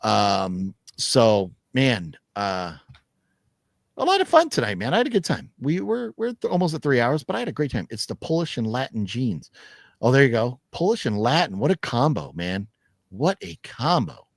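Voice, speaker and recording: A man in his fifties hosts a loose livestream chat, reading out viewer comments as he goes. He rambles, swears freely and laughs often, with an average-pitched voice. The sound is dry and close, with no room echo. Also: huge pitch swings, normal speed, vocal fry. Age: 50s. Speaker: podcast host.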